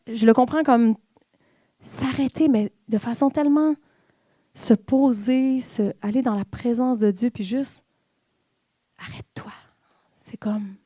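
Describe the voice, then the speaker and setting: A woman's voice: on the high side, dark tone, no echo. A woman with a Québécois French accent giving an informal, conversational sermon in church, with fillers and the odd whispered aside. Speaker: church speaker.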